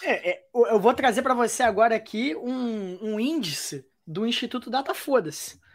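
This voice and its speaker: A woman's voice: on the high side, lively intonation, moderate pace. A Brazilian woman hosting a podcast, chatting about football in a loose, wry way with many restarts. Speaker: podcast host. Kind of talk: casual football chat.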